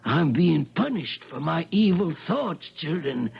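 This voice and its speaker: An actor playing a despairing older country preacher and father, raspy and guilt-ridden, in a 1970s radio mystery drama. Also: a little high, sing-song, a little slow, full character voice. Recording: dull old radio recording.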